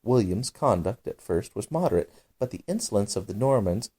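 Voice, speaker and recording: A man's voice: on the low side, expressive, fairly quick, warm tone, breathy edge. A man voicing a prim, touchy little storybook mouse who lectures in a fussy, formal manner. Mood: cross. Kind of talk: storybook character voice.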